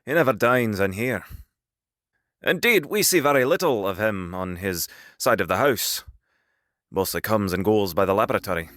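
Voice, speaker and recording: A man's voice: on the low side, animated, normal speed, fairly bright tone, dry recording, clean studio sound. An actor playing an obedient, frank household servant in an audio drama, answering his betters with emphatic respect. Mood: deferential, forthcoming.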